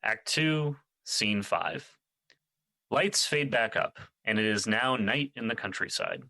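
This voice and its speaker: A man narrates an audio drama by reading out stage directions. His voice is very low, with a crisp, forceful edge. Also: slow, no room sound.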